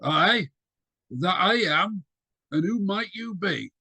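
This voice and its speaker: A man voicing a gruff, sarcastic older male detective in a put-on Geordie accent. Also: high-pitched, lively intonation, slow pace, pushed and bright, no echo, pitched above the performer's own voice, exaggerated voice. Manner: dry sarcastic drawl.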